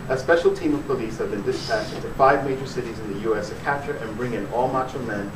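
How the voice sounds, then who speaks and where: A man voicing a smooth, very official television newscaster, measured and formal, in a live story reading. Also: medium pitch, natural voice. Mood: official, grave. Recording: echoey room from far off, noisy.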